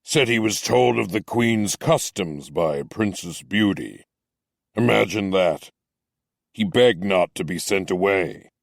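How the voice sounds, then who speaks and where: Male narrator doing captain: deep, slow, measured, gently stern; dry booth. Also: lively intonation, vocal fry, no room sound, exaggerated voice. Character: stern but kindly male captain, middle-aged. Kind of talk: audiobook character voice.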